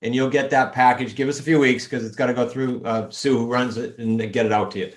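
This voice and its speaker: New england guy on a podcast, upbeat, lots of room echo. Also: fast talker. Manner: energetic, pitching steps and links. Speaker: podcast guest. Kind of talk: casual interview talk. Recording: echoey room.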